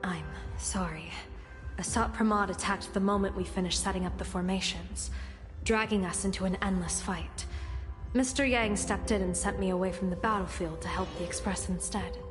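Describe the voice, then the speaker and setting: A woman voicing a composed, reassuring female mystic leader in a video game, speaking in a measured, formal way. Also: medium pitch, steady tone, close to an everyday voice. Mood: apologetic. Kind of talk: video game character acting. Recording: long reverb, music behind.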